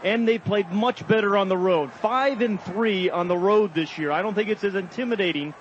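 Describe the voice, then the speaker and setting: A man's voice: sits high, normal speed. An American man giving color analysis on a live football radio broadcast. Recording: dull, noisy stadium radio broadcast. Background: stadium noise.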